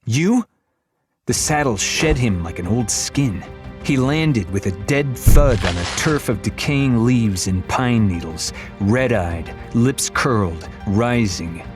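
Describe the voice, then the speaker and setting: A man's voice: fairly low pitch, animated, slow pace. A man narrating a fantasy story for young readers in a theatrical, wry storytelling manner. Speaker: audiobook narrator. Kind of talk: dramatic scripted narration of a middle-grade fantasy. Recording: music behind the voice.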